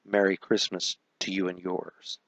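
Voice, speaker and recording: A man playing a terse, deadpan hard-boiled detective, narrating in a parody of an old police radio drama. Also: deep voice, a little slow, heavy background noise.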